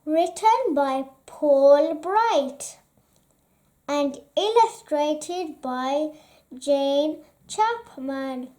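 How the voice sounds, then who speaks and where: A woman with a high voice reads a children's bedtime story aloud. Her delivery is very slow and expressive, with pitch that rises and falls in a lively way.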